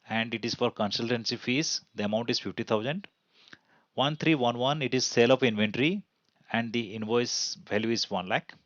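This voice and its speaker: Man, Indian accent, mid-pitched voice, didactic tutorial delivery, question-and-answer phrasing, lo-fi close recording. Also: a bit bright, no echo. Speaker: accounting instructor. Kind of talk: explanatory tutorial over slides.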